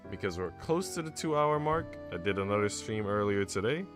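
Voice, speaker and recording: A man's voice: lowish voice, animated, brisk. A man streaming, reading a visual novel aloud and chatting casually. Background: music.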